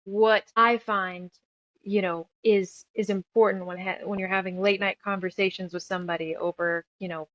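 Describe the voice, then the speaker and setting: A woman's voice: mid-range voice, flat delivery, normal speed, fairly bright tone, dry recording, dull audio. A woman in her thirties telling her story casually as an interview guest, rambling and emphatic, piling up lists as she goes. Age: 30s. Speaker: guest author and musician.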